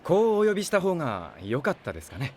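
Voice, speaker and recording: Courteous, sly businesslike man, slightly high, animated intonation, audio drama. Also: fast, a little hiss or hum. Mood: knowing, polite. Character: smooth, polite, knowing male client. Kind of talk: Japanese audio drama acting.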